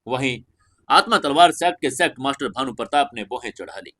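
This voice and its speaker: Male narrator, mid-pitched voice, dramatic scripted fantasy storytelling, emphatic stresses. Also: lively intonation, brisk, bright, forceful tone.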